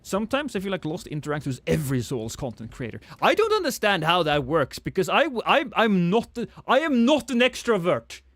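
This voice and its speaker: A man's voice: high voice, wildly swooping pitch, fairly quick, few pauses, fairly bright tone, no echo. Norwegian man with British-tinged accent. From Norway. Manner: chatty, self-deprecating, many restarts. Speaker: streamer. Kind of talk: casual gameplay livestream chat.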